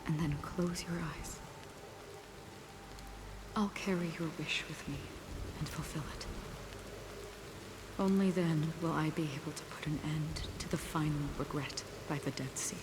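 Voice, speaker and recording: Sad solemn wanderer lady in a game, low soft breathy voice, really slow, rain behind. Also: steady tone, background noise. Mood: gentle, resolute. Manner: slow, soft, measured, breathy trailing phrases. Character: solemn, melancholy female wanderer guiding souls toward death. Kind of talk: dramatic video game voice acting.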